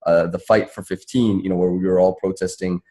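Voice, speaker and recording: A man's voice: sits low, fairly even intonation, a little fast, dark tone. A man, a lawyer on a panel, explaining legal points in a conversational way. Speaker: panelist, civil rights lawyer.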